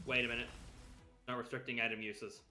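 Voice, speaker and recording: A man's voice: medium pitch, little pitch movement, fairly quick, bright, forceful tone, faint music behind. A man giving casual, wry, offhand live commentary as he streams a video game.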